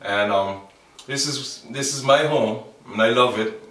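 A man's voice: mid-range voice, pushed and bright, distant mic. A man in his thirties with a Bahamian accent, speaking earnestly in an interview and stopping often to restart his sentences. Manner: earnest, halting, with many fillers and restarts. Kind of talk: documentary interview. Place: outdoors by a wall in a yard. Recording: echoey, off-mic camera sound.

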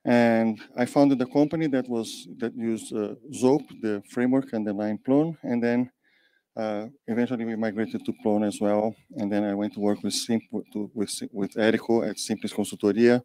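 An older man, a panel guest, giving a thoughtful, measured account of his history into a handheld mic in a meeting room. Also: on the low side, steady tone, mellow, no room sound. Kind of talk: panel conversation.

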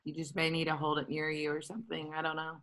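A woman's voice: sits low, monotone, fast, fairly bright tone. A woman leading a children's lesson on a video call, chatty and encouraging. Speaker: children's program leader. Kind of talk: casual hosting of a kids' video call. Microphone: laptop on a video call.

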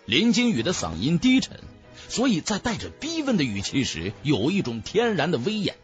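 Animated male audiobook narrator. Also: fairly high pitch, moderate pace. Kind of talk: dramatic scripted narration of a fantasy novel. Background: background music.

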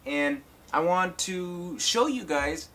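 Bright-voiced man giving a casual tutorial. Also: sits high, animated, takes it slowly. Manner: informal, addresses viewers as guys and folks. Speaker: talk box tutorial host, musician. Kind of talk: casual instructional video talk to viewers.

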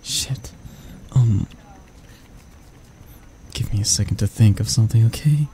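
A man playing a grumpy but soft-hearted barista, flustered and flirty, stammering and teasing in a romantic ASMR roleplay. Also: on the low side, a little slow, slight background noise, natural voice, low-quality recording. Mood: bashful flirting.

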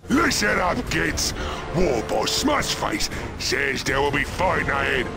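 A man voicing a rowdy, excitable, brutish ork leader in a video game, shouting in rasping with crude grammar. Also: on the high side, a little slow, over music, heavily acted. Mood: excited. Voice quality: very gravelly, rasping. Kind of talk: video game character acting.

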